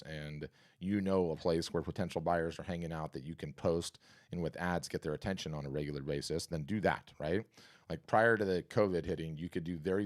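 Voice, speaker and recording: Man, very deep voice, hoarse and scratchy, energetic sales pitch, casual webinar host. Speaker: host of a live art business workshop. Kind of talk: live webinar talk and Q&A.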